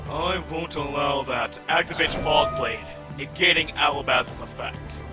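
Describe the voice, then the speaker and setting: A man's voice: fairly high pitch, a little slow, lightly acted. A man playing a scornful villainous duelist in an anime-style card battle roleplay, declaiming dramatically and formally. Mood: indignant. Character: theatrical villainous duelist, scornful rival of a Chosen One. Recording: voice over loud background music.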